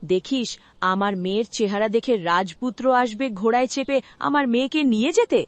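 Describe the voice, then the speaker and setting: A woman voicing a cruel, sarcastic, sharp-tongued middle-aged village stepmother in a cartoon. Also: on the high side, brisk, no echo, close to an everyday voice. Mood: boastful, scolding. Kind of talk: Bengali cartoon dialogue.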